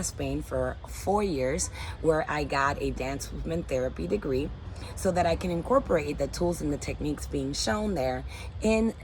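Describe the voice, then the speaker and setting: Earnest woman talking low outdoors. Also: a little hiss or hum, rough recording. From Dominican Republic. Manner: earnest, upbeat and heartfelt. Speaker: teaching artist. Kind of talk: personal statement to camera. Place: backyard under a canopy, outdoors.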